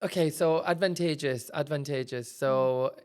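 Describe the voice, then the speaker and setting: A young man co-hosting a casual podcast in a studio on a boom mic, laid-back and quick to laugh. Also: a little mellow, clean tone. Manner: laid-back, laughs often, defends himself. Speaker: podcast co-host. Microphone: studio mic on boom arm.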